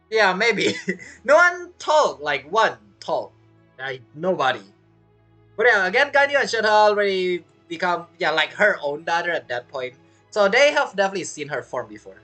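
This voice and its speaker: A woman's voice: medium pitch, very animated, moderate pace, fairly bright tone, soft background music. A woman streamer giving casual reaction commentary on a game, chatty, laughing often and speaking broken English.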